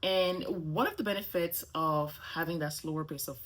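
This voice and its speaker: A woman's voice: lowish voice, moderate pace, fairly bright tone. A middle-aged woman, a native French speaker talking in English, chatting casually to camera as a vlogger and often checking in with "okay?" and "right?".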